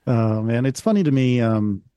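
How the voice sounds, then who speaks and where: A man's voice: medium pitch, lively intonation, moderate pace, warm tone, clear, resonant tone, dry recording. A man co-hosting a podcast, chatting casually with an understated, wry manner. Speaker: podcast co-host. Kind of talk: casual chat.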